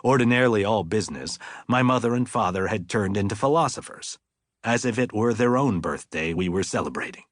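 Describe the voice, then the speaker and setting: A man reading literary fiction as an audiobook narrator, in a measured, deliberate and expressive way. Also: fairly low pitch, no room sound, studio quality.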